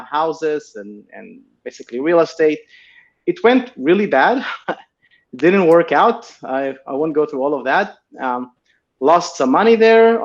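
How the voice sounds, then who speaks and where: A man in his forties from Israel with an Israeli accent, a company founder telling his story in a relaxed interview, with many fillers. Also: medium pitch, dull audio. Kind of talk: casual interview chat.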